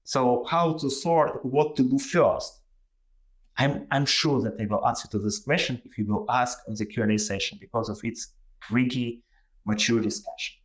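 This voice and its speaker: A man's voice: mid-range voice, moderate pace. A man with a Ukrainian accent, a native Ukrainian speaker, giving a webinar presentation over a video call, with many fillers and restarts. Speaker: guest presenter.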